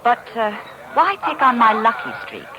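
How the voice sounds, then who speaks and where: A woman's voice: fairly high pitch, expressive, normal speed, heavy background noise, natural voice. A woman playing a poised, coy and guarded nightclub singer in an old-time radio drama. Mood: guarded, cool. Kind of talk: 1940s radio drama acting. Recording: old radio recording with music behind.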